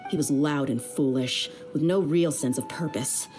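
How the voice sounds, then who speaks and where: A woman's voice: deep voice, normal speed, darkish tone, lightly acted. A woman playing a tough, easygoing young mercenary in a video game, frank and self-assured. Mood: wry, frank. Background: background music.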